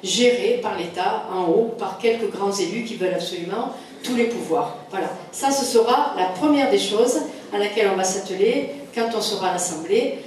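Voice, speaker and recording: A woman's voice: medium pitch, normal speed, a little mellow. A French woman, a local elected official, giving an emphatic, insistent campaign speech with repetition and rhetorical questions. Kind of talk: political campaign speech to a meeting. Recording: very echoey room, distant mic, faint noise.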